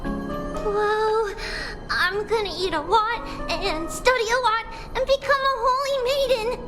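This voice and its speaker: A woman's voice: very high voice, slow. A woman voicing an innocent, dreamy little girl in a video game, with childlike, hesitant speech. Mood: earnest, curious. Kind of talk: video game character acting. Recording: background music under the voice.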